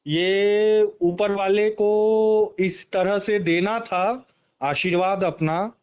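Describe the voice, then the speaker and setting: A man's voice: high-pitched, steady tone, very slow, a little mellow, clear, resonant tone. A man giving a political interview over the phone, speaking emphatically and often repeating himself in agreement. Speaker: political party member and former election candidate, interviewee. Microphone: phone call. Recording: dull, band-limited phone call recording.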